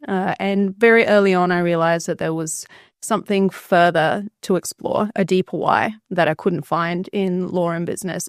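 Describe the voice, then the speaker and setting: Clear, smooth-voiced woman. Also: fairly low pitch, no echo, clean studio sound. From Australia, born in Bulgaria. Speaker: podcast guest, entrepreneur. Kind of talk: conversational personal storytelling.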